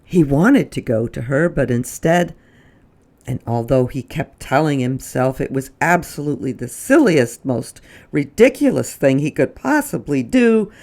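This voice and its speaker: A woman reading a children's story aloud as a storytime host, with dramatic emphasis and drawn-out words. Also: low voice, a little mellow. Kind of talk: expressive read-aloud storytelling.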